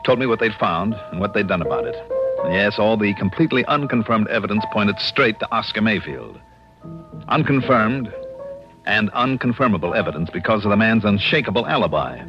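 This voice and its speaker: A man's voice: on the low side, very animated, brisk. An American man playing a wry, self-assured insurance investigator in a 1950s radio drama, with a dry, clipped, teasing delivery. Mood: matter-of-fact narration. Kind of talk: 1950s radio detective acting and first-person narration. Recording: dull old radio recording with music.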